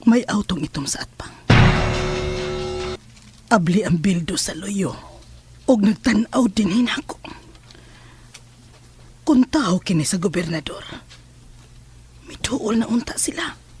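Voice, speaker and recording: A woman's voice: medium pitch, expressive, slow pace, long pauses, darkish tone, faint music behind. A woman playing a suspicious, anxious wife thinking aloud in an audio drama. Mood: suspicious, wary. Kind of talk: Filipino audio drama monologue.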